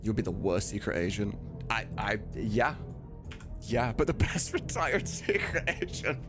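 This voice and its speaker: A low-pitched man in his thirties talks off the cuff to his live stream audience. His delivery swings widely up and down as he rambles candidly, with music clearly playing behind him. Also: very animated, unhurried. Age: 30s. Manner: rambling, candid, swears casually. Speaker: live streamer, game dub maker. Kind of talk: casual live stream chat.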